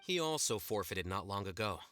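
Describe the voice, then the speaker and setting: An actor playing an aloof young scholar in a video game, formal, detached and deadpan. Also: male voice, fairly low pitch, moderate pace. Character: aloof, matter-of-fact scholarly young man. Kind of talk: video game character acting. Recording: faint game music behind the voice.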